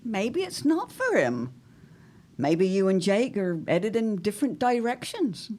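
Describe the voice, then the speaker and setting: A woman's voice: medium pitch, sing-song, a little slow, a little mellow. A woman playing a witty, protective middle-aged mother of the 1910s, genteel and wry, in a period audio drama. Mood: gently probing. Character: arch, witty, protective society mother in 1917.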